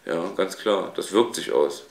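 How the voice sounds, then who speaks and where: A man's voice: low-pitched, a little slow, gravelly, very noisy. A middle-aged man, a school leader, speaking emphatically with restarts in an office. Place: office indoors. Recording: echoey room.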